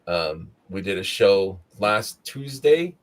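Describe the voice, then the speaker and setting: Hoarse, rambling livestream host man. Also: fairly low pitch, lively intonation, takes it slowly. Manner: rambling, tired but upbeat. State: scratchy, hoarse morning voice. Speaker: host of a weekly live show. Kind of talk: casual live-stream chat.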